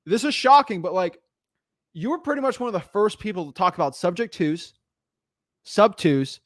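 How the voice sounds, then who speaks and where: An energetic young man with a high, bright voice, talking fast and animated in a casual conversation. The sound is close and dry, with no room around it. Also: no room sound. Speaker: podcast host. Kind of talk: casual chat.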